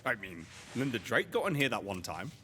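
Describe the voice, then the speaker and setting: A man's voice: on the low side, sing-song, fairly quick, pushed and bright. A man playing a loyal, slightly anxious young adventurer's sidekick in an audio drama, earnest and questioning. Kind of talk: scripted audio drama dialogue. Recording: long reverb, music behind.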